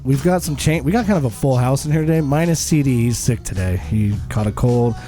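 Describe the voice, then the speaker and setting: A man's voice: medium pitch, lively intonation, fairly quick, warm tone, soft background music. A man hosting a casual podcast, a bit nasal from a cold, joking and rambling. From Utah. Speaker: podcast host.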